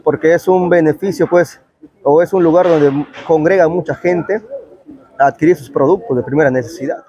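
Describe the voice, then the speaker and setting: A man's voice: on the high side, moderate pace, dark tone, slight background noise, rough recording. A man in his thirties with a Peruvian Spanish accent, answering an interview as a town councillor, on a lapel mic in a covered market. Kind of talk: spoken news interview answer. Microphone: clip-on lapel microphone.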